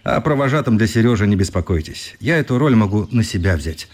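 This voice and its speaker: A man's voice: lowish voice, lively intonation, fairly quick, a little mellow. An actor playing a politely defiant, ironic male newspaper correspondent in a radio play, speaking in courteous, articulate phrases. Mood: unruffled, ironic. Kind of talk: radio drama acting.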